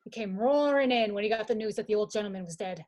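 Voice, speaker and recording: A woman acting an anxious young gentleman suitor in a radio mystery, with a hesitant, halting delivery. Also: mid-range voice, close to an everyday voice. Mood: subdued, cooperative.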